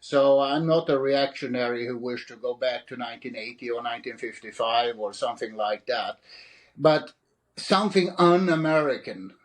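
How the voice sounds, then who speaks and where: A man's voice: mid-range voice, normal speed, rough recording. A middle-aged man from Sweden with a Swedish accent, answering interview questions as an author with frequent fillers and restarts. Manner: hesitant, many fillers and restarts. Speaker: author and guest.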